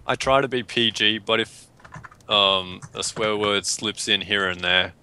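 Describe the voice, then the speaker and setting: A young Australian man with a low, bright and forceful voice chats casually over voice chat. He jokes about himself between game moments, talking through a headset. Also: measured pace, slight background noise. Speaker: player.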